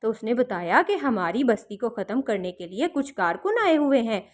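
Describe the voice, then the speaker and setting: A woman's voice: a little high, very animated, normal speed. A woman voicing a frightened little fairy who anxiously pleads for help in a children's story. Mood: anxious pleading.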